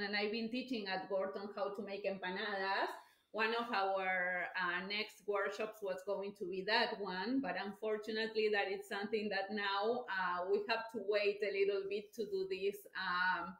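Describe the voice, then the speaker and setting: Slow-talking woman in an echoey kitchen. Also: medium pitch, a bit bright. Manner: chatty and explanatory, with many fillers. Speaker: cooking instructor. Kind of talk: live cooking demonstration. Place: home kitchen, indoors. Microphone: device camera across the counter.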